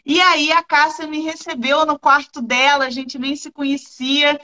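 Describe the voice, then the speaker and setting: A woman hosting a livestream, telling a personal anecdote in a quick, chatty way. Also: high voice, flat delivery, sharp tone, highs cut off. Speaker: host and instructor. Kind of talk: livestream chat.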